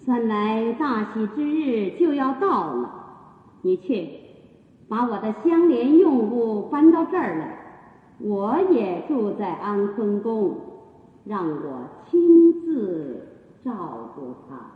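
A woman's voice: on the high side, big pitch swings. A woman acting an imperious, falsely kind, scheming empress in a theatrical Chinese radio drama. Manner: commanding, deliberate, insinuating. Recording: noisy phone-like sound in long reverb.

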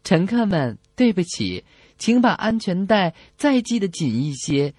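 Man doing a polite air hostess, slightly husky. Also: high voice, very animated, a little slow, a little mellow, dry recording, clean studio sound, voice pushed up, heavily acted. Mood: polite, official. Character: polite female flight attendant making cabin announcements.

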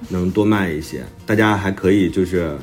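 Low-pitched man chatting casually. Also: normal speed, a little mellow, soft background music. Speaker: author guest discussing a new book. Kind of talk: casual conversational chat.

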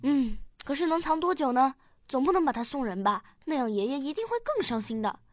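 A woman playing a bright, eager, caring young granddaughter with girlish expressiveness in a radio drama. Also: sits high, lively intonation, fairly quick, no room sound, studio quality. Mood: earnest, thoughtful.

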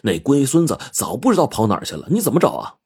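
Man as a blunt, husky young husband. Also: medium pitch, wildly swooping pitch, fast talker, darkish tone, voice pushed up, full character voice. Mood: indignant. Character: protective, hot-headed young husband running a salon.

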